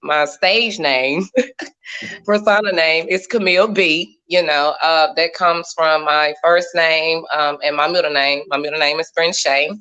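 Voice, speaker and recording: Bright-voiced animated woman in her thirties. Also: deep voice, a little slow, clean tone, muffled recording. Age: 30s. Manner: animated, laughs often, churchy phrasing. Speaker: guest. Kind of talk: casual chat. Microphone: video call.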